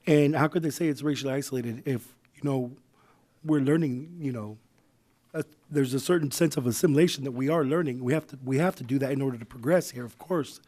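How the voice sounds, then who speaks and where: A young man, a vice mayor, speaking loosely at a city council meeting while hoarse from the flu, with many fillers. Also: medium pitch, fairly quick, dark tone. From United States. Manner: loose, conversational, many "you know" fillers. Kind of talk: public meeting remarks.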